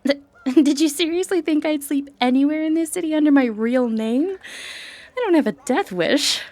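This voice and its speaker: A woman's voice: sits high, normal speed, a little mellow, dry recording, lightly acted. A woman acting a sly, flirtatious thief in a fantasy ASMR roleplay, with a teasing, confident, wry way of talking.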